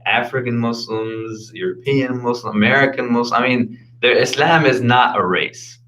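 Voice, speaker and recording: A man's voice: medium pitch, moderate pace, a bit bright, rough recording. A man raised in Detroit, a guest in a casual chat, talking in a joking, laid-back way with slang. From born in Arizona, raised in Detroit.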